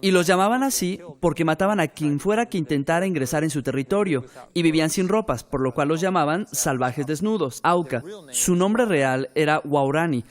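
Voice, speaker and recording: A man's voice: a little high, expressive, normal speed. A man who grew up in Ecuador as a missionary's son, telling his own story earnestly and turning it into a lesson of faith. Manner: earnest storytelling with preaching turns. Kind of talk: documentary interview testimony.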